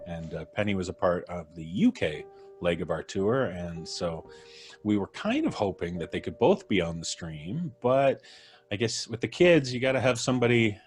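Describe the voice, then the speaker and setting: A man hosting a casual live stream, presenting in a courteous, unhurried way. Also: low-pitched, expressive, soft background music. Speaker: podcast host introducing the cast.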